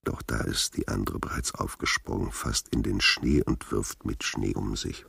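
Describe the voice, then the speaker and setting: An actor playing a brooding storyteller in a literary radio play, with a deep, gravelly, husky voice and a measured, intimate delivery. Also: male voice, steady tone, sharp tone, dry recording, studio quality, full character voice. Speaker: narrator. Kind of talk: literary radio play, narrating stage directions and speaking lines.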